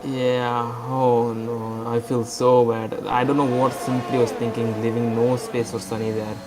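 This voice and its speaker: Hoarse excitable race commentator, man. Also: medium pitch, a little slow. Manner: excitable, blunt, talks over co-host. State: hoarse, losing his voice. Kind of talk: live sim-racing commentary. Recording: game sounds and music behind. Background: racing game engine noise and music.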